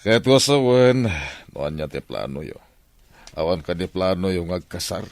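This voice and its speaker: A firm, questioning father in a family radio play, acted by a man. His voice is on the low side and recorded dry, with a concerned parental manner. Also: sing-song, moderate pace, gravelly, darkish tone, dry recording, exaggerated voice. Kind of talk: audio drama dialogue.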